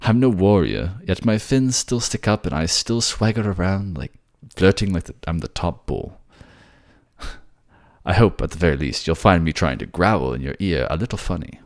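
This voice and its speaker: Man acting gentle merman prince, deep and creaky. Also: animated, moderate pace, dry recording, clean studio sound. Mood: bashful, amused. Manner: tender, polite, slightly bashful hesitations. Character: gentle courtly merman prince, affectionate, self-deprecating. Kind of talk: romantic fantasy audio drama, ASMR roleplay.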